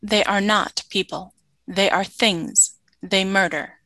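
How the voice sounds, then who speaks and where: A woman's voice: medium pitch, steady tone, slow pace, pushed and bright, dry recording. Woman as dry-sounding, formal alien soldier woman. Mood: stern warning. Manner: formal, clipped, literal. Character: stern, obedient female alien soldier, calm and literal. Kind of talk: radio play acting.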